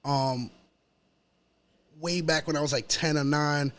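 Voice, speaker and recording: A man with a New York accent chatting casually as an interview guest, slangy and pausing as he goes. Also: fairly high pitch, steady tone, fairly bright tone. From New York City, United States.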